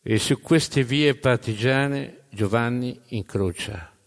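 A man narrating live in a theatrical storytelling style, with dramatic, emphatic phrasing that swings between loud and hushed. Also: medium pitch, slow pace. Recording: long hall reverb.

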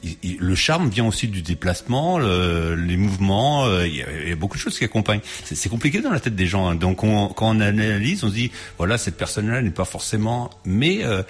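A man's voice: fairly low pitch, sing-song, fairly quick, few pauses, slightly rough. A man hosting a casual radio interview, chatty, joking and laughing often. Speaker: radio host.

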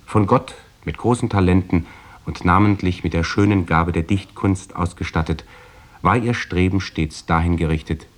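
A man reading a formal notice aloud in a solemn, deliberate manner. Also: deep voice. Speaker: reader of a document. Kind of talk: formal recitation of a death notice.

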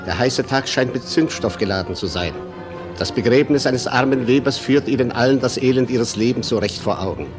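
A man's voice: mid-range voice, brisk. Male radio drama narrator over music.

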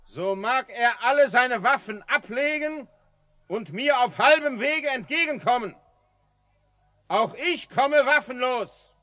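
Slow, high-voiced, haughty chief. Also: male voice, expressive, pushed and bright, no echo, full character voice. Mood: haughty, wary. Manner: solemn, haughty, declamatory. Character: proud, cunning Comanche chief of about fifty. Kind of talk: German radio drama acting.

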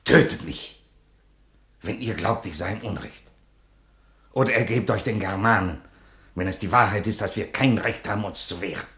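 Radio play emperor , male actor: fatherly yet defiant Roman ruler, very low and forceful, theatrical delivery. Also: moderate pace, pauses often, reverberant, natural voice. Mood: impassioned. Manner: solemn, declamatory, from whispers to thunder. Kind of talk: theatrical radio drama acting.

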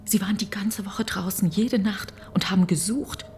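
An actress playing a fearful, doubting, loyal farmer's wife in a radio play, hushed, anxious and sceptical. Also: female voice, medium pitch, fairly even intonation, moderate pace, a little hiss or hum, lightly acted. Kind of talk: German radio play acting.

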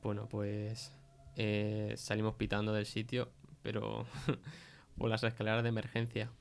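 A man's voice: on the low side, completely flat delivery, unhurried, vocal fry, clear, resonant tone. An actor playing a rattled, defensive young man recounting a creepy stalker in hesitant, slangy Castilian Spanish. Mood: rattled, insistent. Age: young adult. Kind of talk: conversational interview in an audio fiction podcast.

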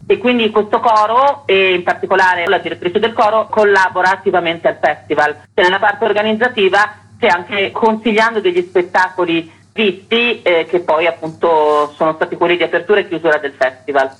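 A woman's voice: on the low side, fairly quick, hardly any pauses, a bit bright, breathy edge, poor audio. A woman festival director giving interview answers and running through a programme. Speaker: festival artistic director, guest.

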